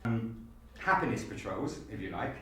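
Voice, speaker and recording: A man giving interview answers and pitching ideas as an auditionee, indoors in a heavily echoing room. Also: sing-song, moderate pace, a bit bright, a little hiss or hum. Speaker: auditionee for a fan audio drama. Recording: very echoey room, distant mic.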